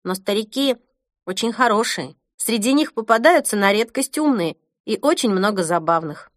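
A woman's voice: mid-range voice, animated, normal speed, dry recording, clean studio sound, natural voice. A woman voicing a blunt, joking young woman who works in a care home, in a storybook reading. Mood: candid. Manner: teasing, blunt.

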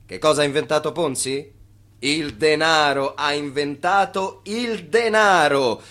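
An actor in a radio drama playing a fervent crier who narrates and proclaims a financier's glory in a declamatory manner. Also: male voice, a little high, a little slow, fairly bright tone. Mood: proud, proclaiming.

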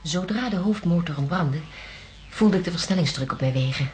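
A woman's voice: lowish voice, animated, moderate pace, noisy background, lightly acted. An actress playing a female astronaut in a radio drama, recalling events in a reflective, matter-of-fact way.

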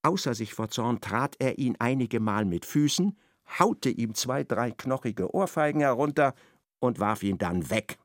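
An actor narrating a literary radio play in a precise, measured way. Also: male voice, mid-range voice, animated, no echo, clean studio sound, lightly acted. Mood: measured narration.

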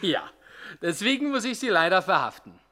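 An actor playing an officious, formal, regretful police commissioner in a 1960s German radio comedy. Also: male voice, high-pitched, big pitch swings, moderate pace, sharp tone, full character voice. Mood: officious, apologetic.

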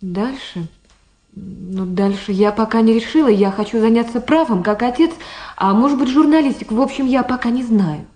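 An actress playing a shy, polite, modest young student in a radio drama, speaking hesitantly and with reserve. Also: female voice, a little high, moderate pace, mellow, close to an everyday voice. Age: young adult. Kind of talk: Soviet radio drama acting.

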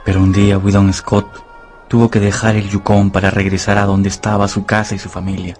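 A male storyteller with a fairly low voice narrates a radio drama. His delivery is measured and dramatic, with soft music underneath. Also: little pitch movement.

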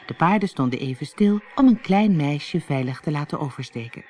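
An audiobook narrator reading a children's fairy tale in an expressive, clearly paced storytelling manner. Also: mid-range voice, moderate pace, a little hiss or hum.

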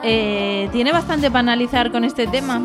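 A woman's voice: fairly high pitch, moderate pace. A woman with an accent from Spain, hosting a radio show with informal, jokey, casual chat. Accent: Spanish from Spain. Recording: music behind the talk.

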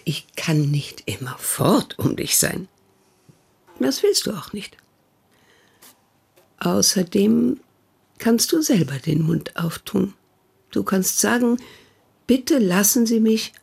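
A woman's voice: medium pitch, very animated, takes it slowly, vocal fry, pauses often, a little mellow, slightly rough, full character voice. An actress playing an older, protective and prying sister who questions her brother reproachfully in a radio play. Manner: reproachful, probing, insistent.

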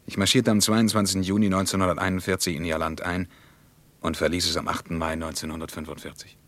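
Radio drama: wary German veteran turned writer, terse and sober, very low pitch, dry close sound. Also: male voice, flat delivery, quick pace, a bit bright, no room sound. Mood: matter-of-fact confession. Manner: terse, matter-of-fact. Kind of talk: German radio play dialogue.

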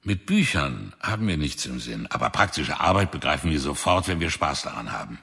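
A husky, gruff old drifter father. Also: a bass voice, lively intonation, fairly quick, sharp tone, heavily acted. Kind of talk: radio drama acting.

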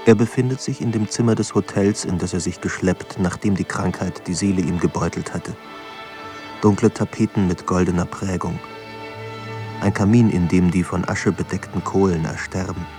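A man narrating a literary radio drama in a measured, solemn way, pausing between phrases. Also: low-pitched, steady tone, dark tone. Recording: studio narration over clear music. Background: music.

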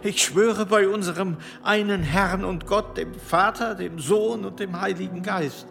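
A high churchman under accusation, with a medium-pitched, fry-laden voice. He is halting, evasive and pleading, always on the defensive. Music runs under this historical radio drama. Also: fairly even intonation, long reverb tail. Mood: indignant. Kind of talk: historical radio play acting.

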